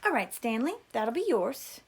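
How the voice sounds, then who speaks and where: A woman's voice: on the high side, big pitch swings, normal speed, breathy edge, heavily acted. A woman voicing an upbeat, preachy male camp counselor in a storybook reading, encouraging and patronizing. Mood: earnest.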